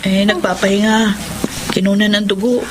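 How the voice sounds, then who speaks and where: A woman's voice: medium pitch, little pitch movement, normal speed, slight background noise, close to an everyday voice. A woman playing a weary young wife who placates her mother in a Filipino radio drama. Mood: weary, placating.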